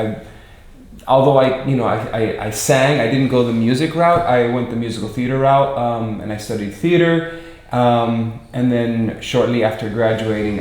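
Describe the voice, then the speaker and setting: A man's voice: mid-range voice, darkish tone. American man in his forties, echoey room. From Miami, United States. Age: 40s. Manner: halting, searching for words, repeats himself. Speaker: interview guest, performer and director. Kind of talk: casual reflective chat.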